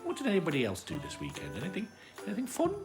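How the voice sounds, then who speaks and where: A man's voice: a little high, huge pitch swings, racing, music in the background. A man with a British accent voicing a friendly, chatty armadillo puppet who hosts a cosy children's bedtime story show. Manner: cosy, chatty, repeats phrases. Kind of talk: casual children's livestream chat.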